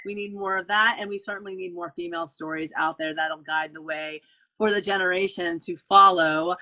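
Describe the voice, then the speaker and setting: A woman's voice: lowish voice, steady tone, moderate pace, pushed and bright, low-quality recording. A woman hosting an author interview, gushing and effusive, often repeating her phrases. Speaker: podcast host. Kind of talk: enthusiastic interview wrap-up.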